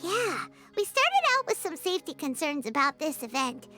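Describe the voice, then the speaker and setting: A tiny, cheerful female fairy companion from a video game. The voice is extremely high and childlike, with very lively, enthusiastic swings. Music plays clearly behind it. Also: moderate pace, exaggerated voice. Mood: reassured, chatty. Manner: bubbly, enthusiastic.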